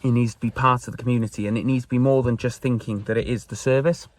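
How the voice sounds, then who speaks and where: A man's voice: mid-range voice, steady tone, fast talker. A man in his twenties with a Welsh accent, a native Welsh speaker, earnestly sharing a personal reflection on faith from his parked car. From Wales. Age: 20s. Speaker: church youth member sharing faith. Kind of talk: personal faith talk to camera.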